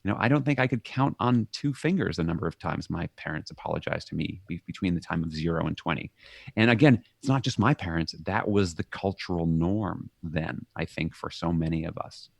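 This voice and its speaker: A middle-aged man with a very low voice gives an animated guest interview. He jumps into loud acted-out quotes, then sinks quiet and soft. The sound is dry and close. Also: brisk, creaky, husky, no echo. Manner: animated, acts out quoted shouts. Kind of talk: conversational interview.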